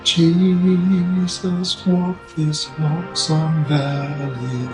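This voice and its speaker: Mournful frontier spiritual singer played by a woman, low-set voice, extremely drawn out, music behind. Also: level tone, drawn-out words. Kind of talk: slow mournful spiritual singing in radio drama.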